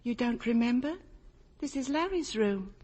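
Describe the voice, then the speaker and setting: A tender, anxious older American mother in a vintage radio play. Her delivery moves widely in pitch, motherly yet pointed, with a wistful edge. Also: expressive, normal speed, dark tone. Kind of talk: 1950s radio drama acting.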